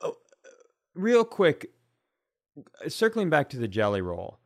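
A man's voice: on the high side, wildly swooping pitch, measured pace, no echo, studio quality. A man co-hosting a casual comedy podcast, rambling as he talks and often correcting or restating himself. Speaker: podcast co-host.

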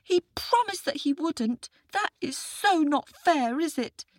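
Woman doing a little boy voice, high and earnest, pleading and indignant. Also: expressive, slow, dry recording. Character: earnest, upset young boy.

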